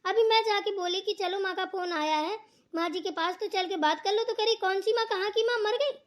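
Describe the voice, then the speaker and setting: Woman doing a very squeaky high cartoon bahu, whiny tattletale, catty and indignant. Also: brisk. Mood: accusing. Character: tattling, indignant elder daughter-in-law, young and catty. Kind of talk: amateur Hindi family cartoon dialogue.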